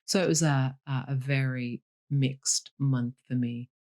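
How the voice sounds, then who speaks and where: A woman's voice: very deep voice, steady tone, unhurried, darkish tone. A woman from Leeds, a novelist answering interview questions candidly, with dry humour and frequent ums. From Leeds, Yorkshire, England. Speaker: guest, novelist. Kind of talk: interview over a call.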